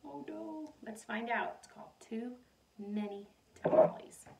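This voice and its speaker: A woman reading a picture book aloud like a teacher, animated, pausing to put questions and asides to young listeners. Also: fairly high pitch, vocal fry. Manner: animated storytelling, addresses young listeners directly. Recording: voice distant from the microphone.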